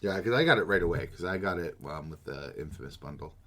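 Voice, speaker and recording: A man's voice: low-pitched, expressive, quick pace, bright, forceful tone. A man co-hosting a podcast, chatting casually and answering in dry, short replies. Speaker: podcast co-host. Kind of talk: casual chat.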